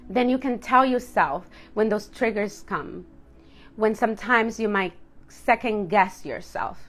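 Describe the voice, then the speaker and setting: A woman in her late thirties speaks straight to her viewers as a coach. Her voice sits at an ordinary middle pitch for a woman. She talks candidly and reflectively, leaning on key words for emphasis in a personal motivational style. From Dominican household. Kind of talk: personal motivational talk to camera.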